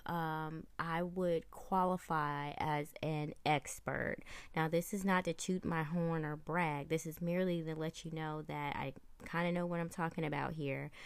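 A woman podcast host speaking in a deliberate, reassuring monologue of faith-based encouragement, with emphatic delivery. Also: sits low, no echo.

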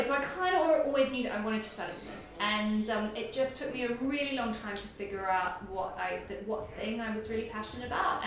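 A woman with a New Zealand accent, a company founder chatting easygoingly as an interview guest and laughing easily. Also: a little high. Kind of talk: casual interview. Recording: echoey room, voice far from mic.

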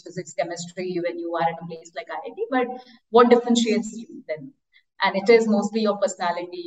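A woman in her thirties from India, with an Indian accent, telling her story conversationally as an interview guest, rambling with many "like" and "you know" asides. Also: lowish voice. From eastern India. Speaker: interview guest, education startup founder. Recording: echoey, distant, muffled call-like audio.

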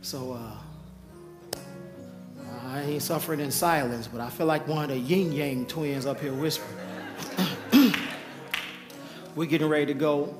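Hoarse pastor over church music. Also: male voice, a little high, measured pace, long pauses. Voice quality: hoarse, losing his voice. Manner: urgent repeated coaxing, preacherly. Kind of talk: altar call and announcements at a church service. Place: church sanctuary. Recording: live church sound with music and crowd. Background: music and congregation.

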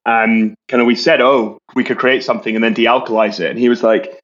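A Scottish man, a brand co-founder, talking quickly in a casual business interview and often repeating words as he restarts. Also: mid-range voice, steady tone, fairly bright tone, lo-fi sound. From Scotland.

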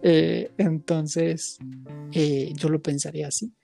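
A woman's voice: low voice, steady tone, slow, vocal fry, warm tone, faint music behind. A woman in a casual, reflective conversation, thinking aloud and searching for her words. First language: Spanish. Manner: musing, hesitant, searching for words.

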